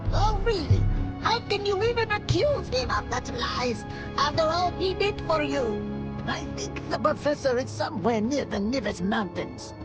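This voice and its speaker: A woman voicing an indignant, haughty female chief of swamp creatures in a video game, scolding with drawn-out emphasis. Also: sits high, big pitch swings. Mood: offended, scornful. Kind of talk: video game cutscene acting. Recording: loud music under the voice.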